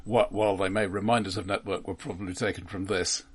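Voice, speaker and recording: A man's voice: low voice, steady tone, fast talker, breathy edge. A man co-hosting a casual film podcast, repeating words as he gives dry opinions. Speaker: podcast co-host.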